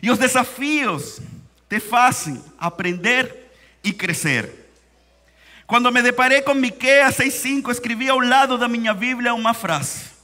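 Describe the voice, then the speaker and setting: A man from Venezuela with a Spanish accent, preaching a sermon in Portuguese, slowing down and repeating key phrases for emphasis. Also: very high voice, sharp tone. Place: church hall. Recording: church hall reverb.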